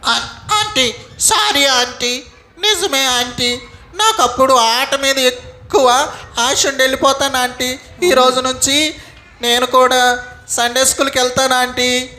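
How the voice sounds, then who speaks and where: A woman's voice: sits high, steady tone, a little slow, pushed and bright. A woman voicing a naughty but repentant young boy puppet in a children's Sunday school show, speaking in a childlike, pleading way. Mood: apologetic.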